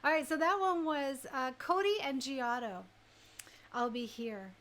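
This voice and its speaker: Female online radio host, high voice, chatty and effusive, informal livestream delivery between songs. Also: a little slow, fairly bright tone. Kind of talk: casual livestream music show chat.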